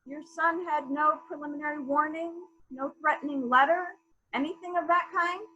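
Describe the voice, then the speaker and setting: A woman's voice: high voice, fairly even intonation, moderate pace, pushed and bright, highs cut off. A woman playing a polite, probing police inspector in a murder mystery reading, asking formal, clipped questions.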